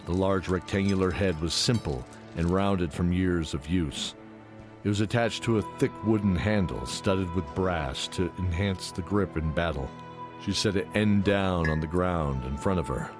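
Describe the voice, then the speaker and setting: A man's voice: deep voice, normal speed. A man narrating a scripted fantasy story as the narrator of an audio drama. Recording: music under the voice.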